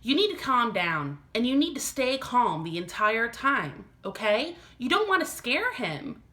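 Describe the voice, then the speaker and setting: A woman voicing a gentle but firm mother who explains things in a storybook read-aloud. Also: a little high, sing-song, a little slow, pitched above the performer's own voice, heavily acted.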